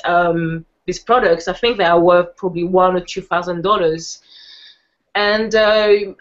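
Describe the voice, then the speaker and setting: A woman with a French accent giving a casual online presentation, chatty with lots of fillers and restarts. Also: medium pitch. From France. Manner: chatty, laughs easily, many fillers and restarts. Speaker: webinar presenter. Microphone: video call. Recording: muffled online call audio.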